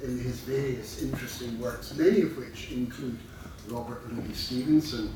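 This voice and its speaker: The older host at a club dinner, courteously and a little haltingly introducing the guest from the far end of a noisy, reverberant room. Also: male voice, medium pitch. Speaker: club president introducing a speaker. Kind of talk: formal speech of introduction. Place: large room at a club event. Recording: very echoey room, distant mic, noisy.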